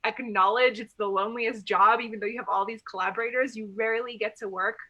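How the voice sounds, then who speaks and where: A woman's voice: fairly high pitch, fairly even intonation, fast, bright, forceful tone. A woman from Lebanon who grew up in Dubai, introducing herself casually on a group video call in a chatty way full of "like". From Lebanese, grew up in Dubai, UAE. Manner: informal, chatty, frequent "like". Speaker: new staff member of a theater organization, director.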